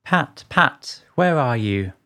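A woman's voice: rumbling low, animated, a little slow, voice pushed down. A woman voicing an angry, bossy male rabbit master in a storybook, scolding and giving imperious orders.

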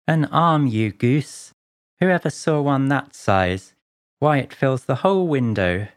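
A woman's voice: extremely low pitch, lively intonation, a little slow, pitched below the performer's own voice. A woman voicing an angry, bossy male rabbit master in a storybook, scolding and giving imperious orders.

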